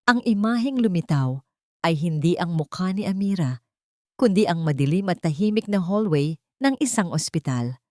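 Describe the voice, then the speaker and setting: A woman narrates a scripted audio drama in a solemn, deliberate, emotive storytelling manner. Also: lowish voice, a little mellow, no echo, clean studio sound.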